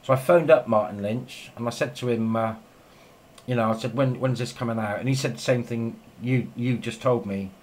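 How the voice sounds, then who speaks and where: Male radio hobbyist, mid-pitched, chatty and keen, rambling casually, recorded with audible background noise. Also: normal speed, a little mellow. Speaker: amateur radio operator, video maker. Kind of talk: casual two-way radio chat. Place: home radio shack. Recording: clear background noise in room.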